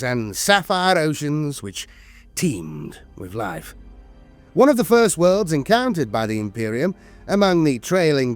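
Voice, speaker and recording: A man reading scripted lore narration in a solemn, measured storytelling manner with deliberate dramatic pauses. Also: fairly high pitch, dry recording. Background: background music.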